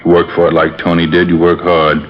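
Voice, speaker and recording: A man's voice: deep voice, completely flat delivery, a little fast, lightly acted. An actor playing a weary, brooding elderly father-in-law in a 1950s radio drama, rambling in a folksy, repetitive way. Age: older. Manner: rambling, folksy, repeats himself. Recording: muffled vintage radio, music behind.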